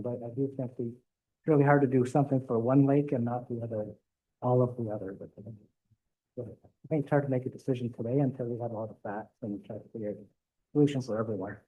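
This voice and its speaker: A man speaking at a township council meeting, cautious and measured in his views. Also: mid-range voice, husky. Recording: muffled meeting-room audio.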